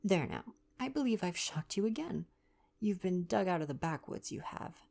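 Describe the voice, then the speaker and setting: A woman's voice: lowish voice, animated, normal speed, gravelly, a little mellow, voice pushed up. A woman voicing a cheeky, slangy young American-born actress and acrobat in a read-aloud novel. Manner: slangy, brash, playful.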